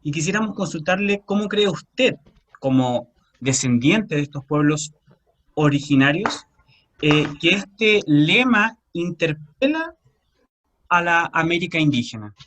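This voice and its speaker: Male host, a bit high-pitched, courteous and formal in his questions. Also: very animated, measured pace, fairly bright tone. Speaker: interviewer, host. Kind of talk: interview.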